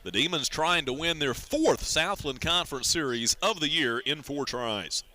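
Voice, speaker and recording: An American man calling a baseball game as a radio play-by-play announcer, in a measured, descriptive way. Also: on the high side, expressive, pushed and bright. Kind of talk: live sports radio commentary.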